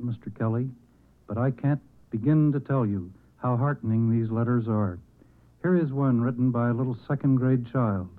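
A man with an American accent, a professor guest, reading letters aloud on old-time radio in a formal, earnest manner. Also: medium pitch. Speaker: professor and scholarship committee chairman, guest. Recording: muffled narrow-band vintage radio recording.

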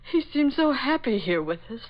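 A woman's voice: high-pitched, sing-song, normal speed. An actress playing an anxious, genteel society mother in an earnest 1940s radio drama style. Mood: anxious, bewildered. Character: worried, genteel society woman, an adoptive mother. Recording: dull old radio recording.

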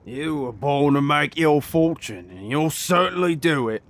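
A man's voice: fairly high pitch, animated, measured pace, slight background noise. A man playing a sly, jocular, miserly old junk dealer in an audio drama. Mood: sly, curious. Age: older.

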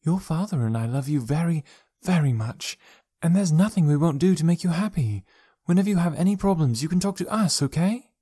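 A man voicing a tactful mother who speaks softly and coaxingly. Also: on the high side, expressive, fairly quick, husky, no room sound, studio quality, voice pushed up.

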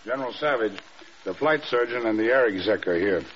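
An actor with an American accent playing a dutiful, measured army major in an old-time radio drama. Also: male voice, fairly low pitch, animated, close to an everyday voice. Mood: calm, knowing. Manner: deferential, measured. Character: dutiful, unruffled male army major, staff adjutant. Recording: dull old radio recording.

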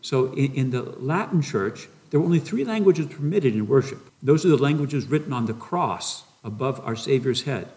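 A man's voice: medium pitch, expressive, moderate pace, few pauses, darkish tone. A man who is a parish priest, answering interview questions in a thoughtful, rambling way with frequent restarts.

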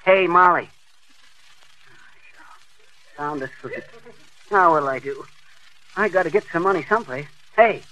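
Flustered American husband: stammering, very forceful, high, old-time radio comedy bluster. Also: male voice, unhurried, pauses often, poor audio. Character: flustered, blustering husband, a fast-talking bumbler. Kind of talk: 1940s radio comedy acting.

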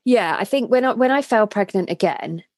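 A woman's voice: mid-range voice, lively intonation, normal speed, dry recording, studio quality. A woman in her thirties co-hosting a podcast, talking in a casual, heartfelt and reflective way that is full of restarts. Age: 30s. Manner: reflective, many restarts and trailing phrases. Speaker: podcast co-host.

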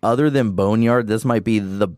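A man co-hosting a casual gaming podcast, chatty and enthusiastic, with quick asides. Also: fairly low pitch, a little mellow, clean tone, no echo, clean studio sound. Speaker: podcast co-host.

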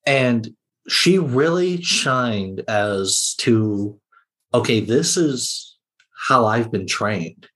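A man's voice: mid-range voice, expressive, extremely slow, fairly bright tone. A man speaking as a podcast guest in a casual discussion.

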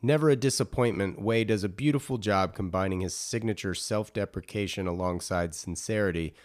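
A man's voice: on the low side, brisk. A man hosting a podcast, speaking as a presenter and interviewer. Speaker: podcast host. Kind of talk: scripted narration and interview.

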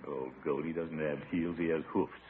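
An actor playing a fussy, pompous middle-aged American uncle, exasperated and blustering, in old radio comedy style. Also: male voice, a bass voice, steady tone, moderate pace, very noisy. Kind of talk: 1940s-50s radio comedy acting. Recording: muffled vintage radio broadcast recording.

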